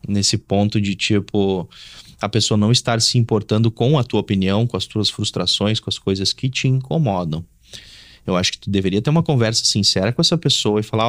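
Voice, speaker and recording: Low-voiced southern Brazilian man, dry sound. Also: no echo. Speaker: host giving relationship advice. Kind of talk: casual advice talk.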